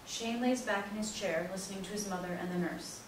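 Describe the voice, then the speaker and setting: A woman narrates a stage play, reading out scene directions in a scripted style. Her mid-pitched voice comes from far off the mic in a very echoey, noisy room. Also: a little fast.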